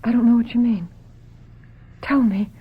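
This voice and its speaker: An actress playing a troubled, lovesick young woman, earnest and halting, confiding as she narrates a radio drama. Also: on the high side, steady tone, slightly rough. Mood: troubled, searching. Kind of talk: radio drama acting, confessional first-person narration. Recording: dull lo-fi radio drama, music underneath.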